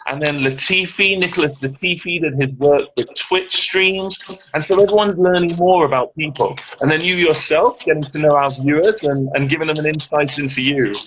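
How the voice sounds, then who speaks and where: A man co-hosts a casual live chat over a video call, talking in an easygoing, chatty way. His voice sits a touch high. A faint crackle runs under the call audio. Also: a bit bright. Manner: chatty, easygoing, British turns of phrase. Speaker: co-host.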